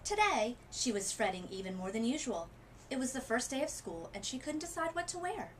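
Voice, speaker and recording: A woman narrates a children's picture book aloud. Her delivery is highly animated, with dramatic emphasis and pauses, over faint background noise. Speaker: literacy coach reading aloud.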